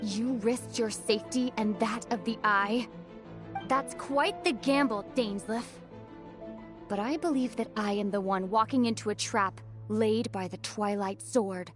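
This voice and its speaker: A woman voicing a calm, resolute female leader in a video game, measured and firm. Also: medium pitch, natural voice. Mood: wary. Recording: game audio with clear music behind.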